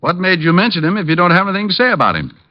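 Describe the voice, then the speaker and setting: A man's voice: high voice, sing-song, racing. A man playing a brash, persistent private detective with a wry, sardonic manner in 1940s radio style. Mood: wry needling. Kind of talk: 1940s radio acting and first-person narration. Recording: dull lo-fi old radio recording.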